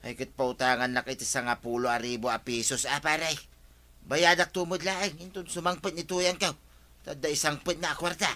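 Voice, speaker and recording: A man's voice: a little high, steady tone, normal speed, bright, forceful tone. An actor playing a wheedling village man in gambling debt who begs for a loan, in a radio comedy drama. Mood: pleading.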